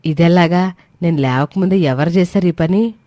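A woman playing a scheming middle-aged mother-in-law in an animated moral story, scolding, sarcastic and self-pitying. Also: lowish voice, normal speed, no echo, natural voice. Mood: startled.

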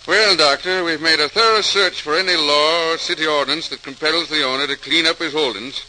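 A measured city official in a 1940s radio play. His voice is somewhat high and very sharp, with formal phrasing. The old recording carries faint noise. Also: poor audio. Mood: matter-of-fact. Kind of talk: 1940s radio drama acting.

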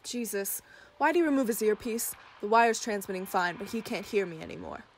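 An actress playing a businesslike, exasperated police surveillance handler in a comedic radio play. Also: female voice, medium pitch, lively intonation, fairly quick, slight background noise, lightly acted.

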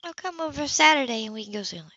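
Female reader as warm young romantic heroine for an audiobook; light, teasing, raised voice. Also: high-pitched, expressive, rapid-fire, no echo, highs cut off, voice pushed up, full character voice. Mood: teasing, bright. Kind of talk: audiobook character voice.

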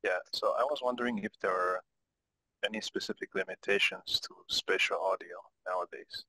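A man's voice: sits low, normal speed, sharp tone, slightly rough, low-quality recording. A man speaking as a student, putting a question in an online class over a video call.

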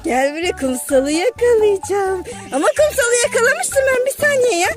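A woman's voice: very high-pitched, expressive, moderate pace, music in the background. A woman hosting a children's game, calling out to the players in a playful, teasing way. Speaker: children's game leader and host.

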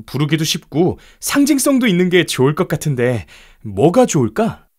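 A man's voice: a little high, sing-song, moderate pace, a little mellow. An actor playing a confident, ambitious young male inventor in a children's audio storybook, earnest and emphatic.

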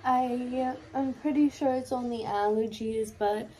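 A young woman talks in a slow, unhurried way, her voice a touch high and mellow. She sounds congested and sniffly, chatting candidly through a get-ready-with-me routine in her bedroom. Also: fairly even intonation, rough recording. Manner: chatty, candid, filler-heavy. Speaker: lash tech and beauty vlogger. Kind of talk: casual get-ready-with-me chat. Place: bedroom, indoors. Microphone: camera in front, close.

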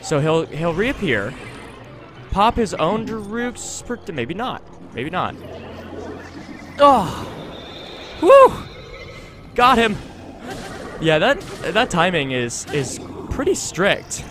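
A man with a high voice hosts a let's play on a close desktop mic. He rambles casually, talking himself through the action, with game music faintly underneath. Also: sing-song, takes it slowly, long pauses. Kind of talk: casual gameplay commentary. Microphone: close desktop USB microphone.

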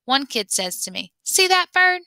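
A woman reading a memoir aloud in an expressive storytelling way. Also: sits high, normal speed, no echo. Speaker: reader.

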